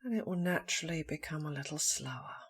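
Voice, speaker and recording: A woman leading a guided meditation in a soft, breathy voice, speaking calmly in short, slow phrases with long pauses. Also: low voice. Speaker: meditation teacher.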